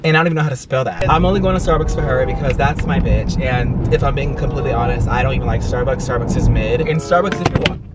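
A man's voice: medium pitch, fast talker, very noisy. A young man vlogging casually and comedically in a sassy, slangy, theatrical way. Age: young adult. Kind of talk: casual comedic vlog talk. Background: music.

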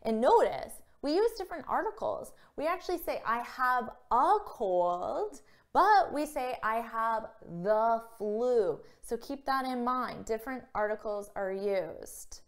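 A woman in her thirties giving a scripted English vocabulary lesson to camera, speaking clearly and carefully and acting out example phrases. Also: a little high, sing-song, slow pace. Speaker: English teacher. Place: small indoor room against a plain wall. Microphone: camera framed at chest height.

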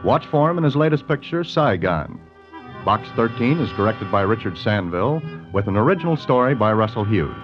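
A man's voice: on the low side, expressive, brisk. 1940s male radio announcer over music. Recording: dull 1940s radio recording, music behind.

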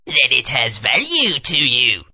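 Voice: mid-range voice, wildly swooping pitch, unhurried, noisy background. An actor playing a sly, greedy shadow hunter creature who schemes and defies the others. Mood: scheming. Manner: scheming, defiant. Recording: dull lo-fi game audio.

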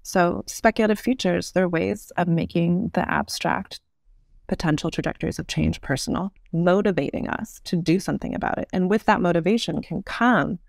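Creaky-voiced woman in her thirties, measured. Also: on the low side, no echo, clean studio sound. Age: 30s. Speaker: podcast guest. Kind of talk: thoughtful interview explanation.

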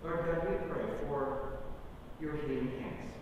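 Male pastor for earnest, solemn prayer in halting phrases; far-off church recording with clear rumbling noise. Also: fairly even intonation, very echoey, long reverb tail, highs cut off. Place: church sanctuary, at the pulpit.

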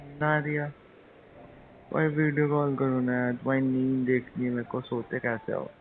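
A man's voice: medium pitch, flat delivery, moderate pace, faint music behind, lightly acted. A man playing a teasing, penny-pinching young husband-to-be chatting casually and hesitantly on a phone call. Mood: playful, teasing. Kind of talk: acted intimate phone-call drama in casual chat. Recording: tinny phone line, faint noise.

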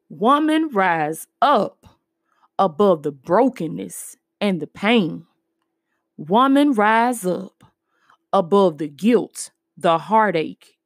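A woman spiritual life coach hosting a podcast, talking excitedly to listeners and then reciting spoken word poetry with a rousing refrain. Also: dry recording, studio quality. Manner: rousing, refrain called out high and strong; upbeat, excited.

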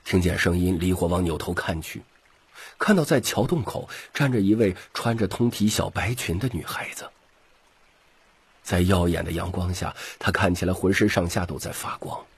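一名男性有声书播讲者，以戏剧化的方式照稿讲述故事。. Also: male voice, sits low, moderate pace, husky, no echo. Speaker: audiobook narrator. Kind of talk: scripted dramatic story narration.

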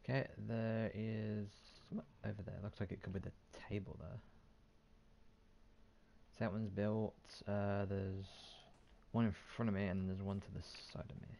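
Male gaming streamer: lowish, gravelly, casual muttered commentary, self-deprecating. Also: little pitch movement, normal speed, muffled recording, a little hiss or hum. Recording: faint game sound behind voice.

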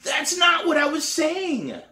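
A man's voice: very high-pitched, wildly swooping pitch, takes it slowly, pushed and bright, recorded from a distance, voice pushed up, full character voice. A man voicing an eager, boastful young girl drummer in a storybook reading, pleading and insistent. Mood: indignant, pleading. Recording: roomy, echoey living room.

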